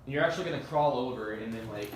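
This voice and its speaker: A man's voice: mid-range voice, animated, rapid-fire. A man casually coaching actors through a scene at a table read. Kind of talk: casual directing chat. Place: indoor room at a group table read. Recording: echoey room, distant mic, faint noise.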